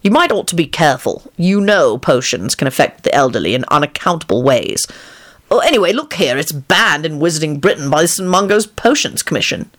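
A woman voicing a polite, apologetic man in a storybook, in a softer, lower version of her own voice. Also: very animated, fairly quick, exaggerated voice.

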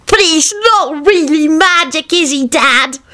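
A woman voicing an eager, innocent young child who excitedly questions a storybook tale. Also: sits high, a little slow, pitched above the performer's own voice. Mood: amazed. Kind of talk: storybook character acting.

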